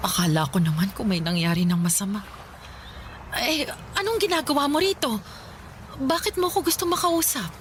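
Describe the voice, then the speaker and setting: A woman's voice: a little high, normal speed, pauses often, slight background noise, natural voice. An actress with a Filipino accent playing a resigned, firm mother who left her family, in radio drama style. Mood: startled, uneasy. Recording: music behind the voice.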